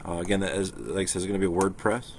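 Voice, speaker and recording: Casting: man, low register, informal tech tutorial presenter, hesitant think-aloud delivery, slight background noise. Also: very fast. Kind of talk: casual screen-share walkthrough. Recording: clear steady background noise.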